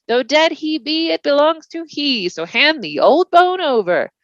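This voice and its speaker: Woman as a bold, scolding rustic lad, animated. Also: high voice, unhurried, dry recording, muffled recording, voice pushed up. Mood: indignant. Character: indignant, bold young rustic man in comic verse.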